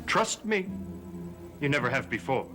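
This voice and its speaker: A man's voice: mid-range voice, very animated, a little slow. A young actor playing a troubled, defiant young man in a 1960s soap opera, with an earnest, hesitant manner. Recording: old TV soundtrack with music. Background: music.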